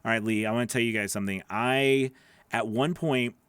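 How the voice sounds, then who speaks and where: A man in his thirties with a somewhat nasal voice, talking casually to viewers during a livestream Q&A. He often repeats words as he talks, and his voice sits at an ordinary middle pitch. The sound is close and dry, from a boom mic in a small home studio. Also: fairly quick, a bit bright, clean tone, no room sound. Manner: chatty, repeats words, reads viewer questions aloud. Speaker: voice actor hosting a live Q&A. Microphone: large studio microphone on boom arm.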